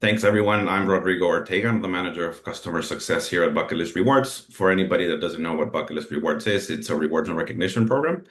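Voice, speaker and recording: A low-voiced man, a native Spanish speaker with a Salvadoran accent, talks casually as a webinar panelist. His video call sound is roomy and echoing. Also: quick pace, hardly any pauses, fairly bright tone. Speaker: panelist, customer success manager. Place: indoor room on a video call.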